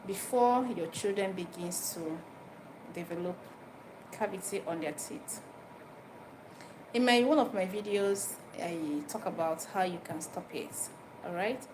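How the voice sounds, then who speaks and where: A woman with a Nigerian accent talks to her live viewers in an informal, instructive way. Her voice sits at a medium pitch, and she often repeats a phrase or turns it into a direct question. The recording has clear ambient noise behind her. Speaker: livestream host giving dental health advice. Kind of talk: informal livestream talk, chatting with viewers. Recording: noisy live stream with background ambience.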